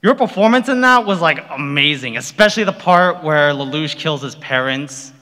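A man's voice: on the high side, lively intonation, moderate pace, sharp tone. A man speaking casually as an audience member, gushing like an eager fan before his question. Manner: effusive, gushing. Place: large convention panel room. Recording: reverberant hall, audience microphone.

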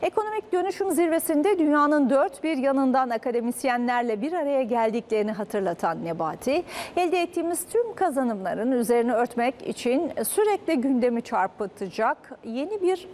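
A young woman reading business news as a studio anchor, in a crisp, measured newsreader delivery. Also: high voice, big pitch swings, darkish tone, a little hiss or hum.

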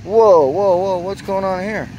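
A man's voice: high voice, a little slow, a little mellow, highs cut off, slight background noise. A man narrating a casual travel vlog as he walks outdoors with a handheld camera, exclaiming at what he finds. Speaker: travel vlogger. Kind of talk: casual walking tour narration. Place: outdoors at a spring park.

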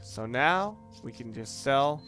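A man's voice: medium pitch, lively intonation, takes it slowly, a bit bright. A man hosting a gaming video with casual, rambling commentary, often correcting himself as he goes. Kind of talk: casual let's play commentary. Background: game music.

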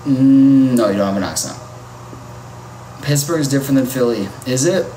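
Male livestreamer, mid-pitched and chatty, fielding viewer comments over loud ambient noise. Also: normal speed, echoey room, poor audio. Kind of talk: casual live-stream chat.

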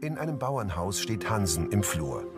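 A man's voice: fairly low pitch, sing-song, moderate pace, over loud music. A man reading scripted audio description narration for a drama.

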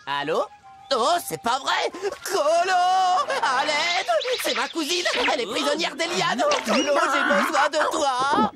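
Excitable, high-pitched rascal monkey. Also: female voice, unhurried. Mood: panicked, pleading. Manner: exclamatory, theatrical. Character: mischievous, lying young male monkey, excitable and whiny. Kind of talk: French children's cartoon acting. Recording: music behind the voice.

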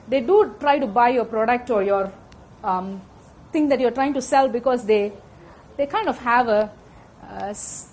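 Fast-talking woman with Indian accent. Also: on the high side, darkish tone, slight background noise, rough recording. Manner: fast-talking, frequent "okay?" check-ins. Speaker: lecturer. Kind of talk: technical lecture to an audience. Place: conference room with audience. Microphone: handheld microphone.

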